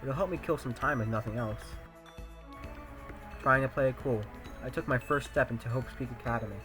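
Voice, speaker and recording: An earnest, anxious high-school boy, acted by a man, thinks out loud in a mid-pitched voice. He narrates in the first person like a visual novel hero, questioning himself as he goes. Music plays clearly behind him. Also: fairly quick, close to an everyday voice. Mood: determined. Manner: self-questioning, musing aloud.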